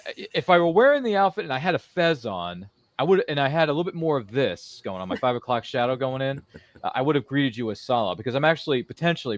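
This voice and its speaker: Fast-talking man hosting a chat show. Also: medium pitch, no echo. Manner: chatty, jokey, rambling, quotes films. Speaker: host of a live-streamed interview show. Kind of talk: casual chat.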